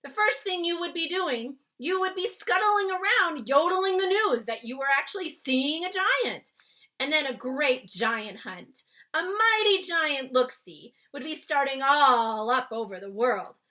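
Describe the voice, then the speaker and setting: Storybook giant played by a woman: friendly, excitable, blustering, forceful and unhurried, folksy muddled grammar. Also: sits high, voice pushed up, full character voice. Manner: garbled grammar, invented words, emphatic. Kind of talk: children's storybook read-aloud.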